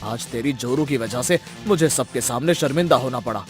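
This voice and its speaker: A man acts out a village man in a cartoon moral story. He is stern and easily indignant, speaking at a middle pitch. Music plays clearly underneath. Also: normal speed, lightly acted. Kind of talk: acted cartoon story dialogue.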